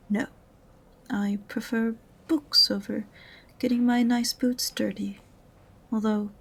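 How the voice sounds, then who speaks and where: A woman's voice: mid-range voice, creaky, darkish tone, a little hiss or hum. A woman playing an aloof, sarcastic witch librarian in a fantasy audio roleplay, prim and condescending in a dry way. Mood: suspicious, dry. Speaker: voice actor in amateur audio roleplay.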